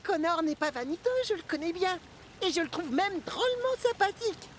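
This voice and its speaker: Very high, earnest boy engine. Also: animated, fairly quick, heavy background noise. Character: friendly, loyal young male steam engine. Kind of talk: French-dubbed children's animation acting.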